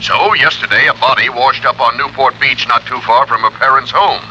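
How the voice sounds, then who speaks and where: A man's voice: medium pitch, steady tone, normal speed, sharp tone. An American actor playing a chatty, folksy insurance company man in an old-time radio drama. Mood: urgent, businesslike. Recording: dull vintage radio recording, faint noise.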